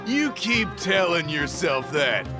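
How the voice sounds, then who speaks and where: A sneering young male rival from a video game. He is pompous and theatrical, his high-for-a-man voice swooping widely, with music clearly behind him. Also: big pitch swings, slow pace. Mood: scornful. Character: arrogant, sneering young male beast-tamer villain.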